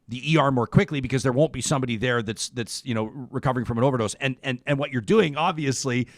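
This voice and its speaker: A man hosting a conversational interview, speaking haltingly and often repeating words as he rephrases. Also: mid-range voice, no echo, clean studio sound. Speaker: talk show host.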